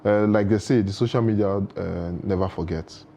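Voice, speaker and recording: A man's voice: lowish voice, brisk, warm tone, slight background noise. A man speaking as a guest in a studio interview, giving earnest advice full of proverbs, with frequent restarts. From Nigeria.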